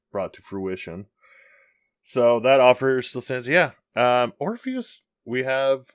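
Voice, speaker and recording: Low-voiced man co-hosting a podcast. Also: smooth, dry recording, studio quality. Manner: rambling, filler-heavy, laughs often. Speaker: podcast co-host. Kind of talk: casual podcast chat.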